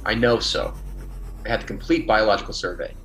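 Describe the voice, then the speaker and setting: A man's voice: on the low side, a little fast, a bit bright. A man playing an earnest, defensive biologist on a colony planet in a classic radio play, emphatic and easily flustered. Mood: firm.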